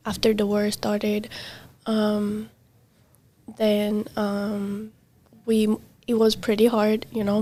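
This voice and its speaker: A young woman, a native Arabic or Kurdish speaker, talking casually as an interviewee, halting with many fillers and trailing softly. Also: mid-range voice. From Middle East. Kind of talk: casual conversation. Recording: faint background noise.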